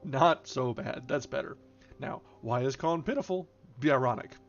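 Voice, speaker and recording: A man reads the part of a loud, teasing fellow who mock-preaches at his pal. The delivery is declamatory and needling, in the manner of acted audiobook dialogue. The sound is a little dull, with music underneath. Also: medium pitch, moderate pace, gravelly, natural voice. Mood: teasing, exhorting. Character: Bill, boisterous teasing American man, mock-preachy wisecracker. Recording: dull lo-fi recording, music behind.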